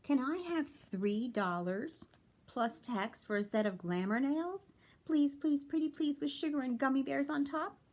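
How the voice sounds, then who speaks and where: A woman's voice: high voice, measured pace, a little mellow, pitched above the performer's own voice. A woman voicing an eager young schoolgirl who begs insistently in a children's storybook. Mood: pleading.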